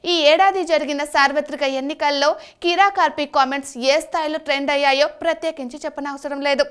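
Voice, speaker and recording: A woman's voice: sits high, a bit bright. A woman reading a scripted voice-over as an entertainment news narrator.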